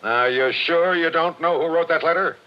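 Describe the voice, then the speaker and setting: A gruff, clipped police captain played by a man pushes with pointed questions in an old radio mystery. The sound is dull and lo-fi like a vintage broadcast. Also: on the high side, moderate pace, lightly acted. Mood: probing. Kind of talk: 1940s radio acting.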